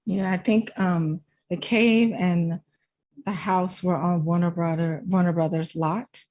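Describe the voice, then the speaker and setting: A woman's voice: lowish voice, fairly even intonation, unhurried, darkish tone. A woman on a panel, talking casually in a film commentary.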